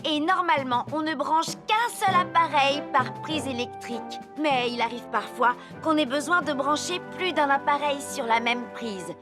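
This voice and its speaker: A cautious young cartoon girl voiced by a woman. Her high voice explains things sensibly, with music playing behind her. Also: moderate pace, hardly any pauses, natural voice. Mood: instructive. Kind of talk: children's cartoon dialogue.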